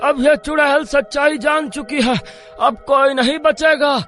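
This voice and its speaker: A man's voice: extremely high pitch, measured pace, pushed and bright, faint music behind, heavily acted. A man playing panicky, cursing villagers in a horror story, exclaiming melodramatically. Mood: alarmed scolding. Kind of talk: exaggerated Hindi story character acting.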